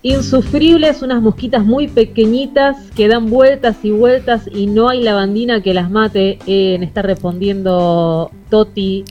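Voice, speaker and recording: Argentine lady co-hosting a radio show, mid pitched, informal and chatty. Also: steady tone, a little slow, few pauses, dark tone, highs cut off. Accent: Argentine, Buenos Aires. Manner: informal, conversational. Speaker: radio co-host. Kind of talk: casual radio chat.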